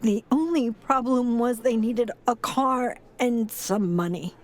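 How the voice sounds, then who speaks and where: An actress playing a sorrowful old grandmother with a halting, sighing delivery in an audio drama. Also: on the high side. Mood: weary, hesitant. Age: older. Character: frail, grieving, tough old grandmother and former foster mother.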